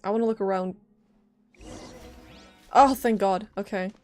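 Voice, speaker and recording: A woman's voice: medium pitch, fairly even intonation, measured pace, darkish tone, faint music behind. A woman live-streaming a game, giving informal, casual reactions and commentary with some swearing.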